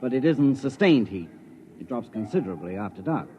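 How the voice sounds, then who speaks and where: A man's voice: mid-range voice, very animated, brisk, dark tone, natural voice. A man playing a practical, dry ship's medical officer in an old radio drama. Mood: practical, concerned. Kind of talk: golden age radio drama acting. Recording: dull, noisy old radio recording.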